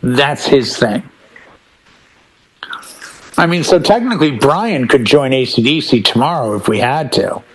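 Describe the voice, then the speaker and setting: A man's voice: mid-range voice, lively intonation, unhurried, pauses often, a little hiss or hum, poor audio. A man chatting casually as a talk show panelist, explaining his points emphatically and with anecdotes. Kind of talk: casual chat about rock bands.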